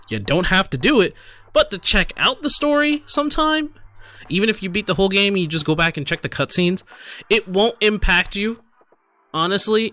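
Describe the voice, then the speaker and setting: A man giving casual reaction commentary as a gaming video creator, halting and conversational as he thinks aloud and reads out comments. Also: sits high, pushed and bright, no echo.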